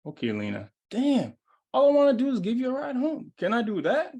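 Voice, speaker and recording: Man reading as animated young smooth-talker. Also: very high-pitched, brisk, lo-fi sound, exaggerated voice. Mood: persuasive. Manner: cajoling, slangy. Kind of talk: live screenplay table read.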